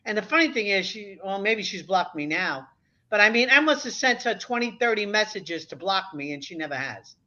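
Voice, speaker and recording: A bright, forceful woman in her seventies speaks with quick emphasis on a casual video chat panel. Her voice comes through a dull, lo-fi connection and carries a chatty, animated manner. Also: medium pitch. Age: 70s. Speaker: panel guest. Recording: dull lo-fi sound.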